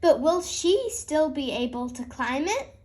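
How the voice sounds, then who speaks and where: High-voiced woman reading to children, slow and animated. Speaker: host and read-aloud storyteller. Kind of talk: children's picture book read-aloud.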